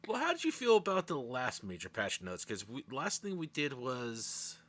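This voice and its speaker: Lively bright-voiced male host. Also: mid-range voice, moderate pace. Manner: playful, exasperated banter. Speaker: podcast host. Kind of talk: casual game chat.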